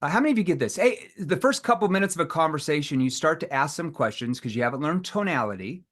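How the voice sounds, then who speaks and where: A middle-aged man leading a live sales training seminar, acting out prospect dialogue with pauses and rising tones. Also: fairly high pitch, lively intonation, a bit bright.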